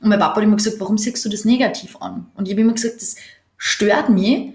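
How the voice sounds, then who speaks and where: A woman's voice: medium pitch, fast talker, a little mellow. A woman in a casual conversation, telling her experiences in long, earnest, winding stretches. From Austria. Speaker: podcast co-host. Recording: echoey room.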